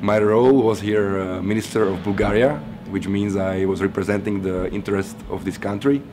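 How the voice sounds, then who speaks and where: Flat-voiced young man from Slovakia. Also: moderate pace. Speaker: student. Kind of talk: interview answers. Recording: noisy background rumble.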